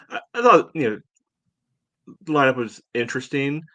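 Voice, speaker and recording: A man co-hosting a sports podcast, giving measured opinions in a casual, conversational way. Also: mid-range voice, big pitch swings, a bit bright, no room sound, dull audio.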